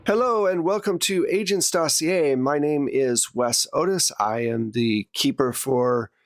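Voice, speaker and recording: A man's voice: on the high side, unhurried, faint music behind. A man narrating a tabletop role-playing game as game master in a conversational, explanatory way.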